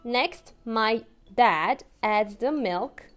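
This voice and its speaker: A young woman with a Turkish accent reads a picture book to small children in a sing-song, playful voice. She speaks very slowly and sits fairly high in pitch. Faint music plays behind her in a small room. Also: lively intonation. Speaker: English teacher. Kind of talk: reading a picture book aloud to toddlers.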